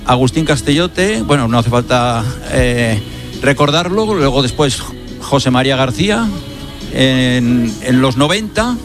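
A man in his sixties from Barcelona, a veteran radio sports reporter, reminiscing in a casual interview with many pauses and fillers. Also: medium pitch, animated, music in the background. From Spain (Barcelona). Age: 60s.

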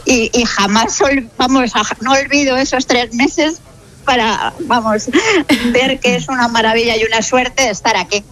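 A woman on a radio show telling a personal story in an expressive way. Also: on the high side, moderate pace, rough recording. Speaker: radio contributor.

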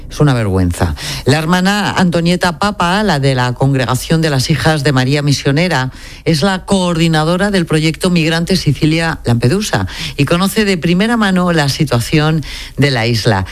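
A woman anchoring a radio news bulletin, reading in a measured, formal way. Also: low-pitched, lively intonation.

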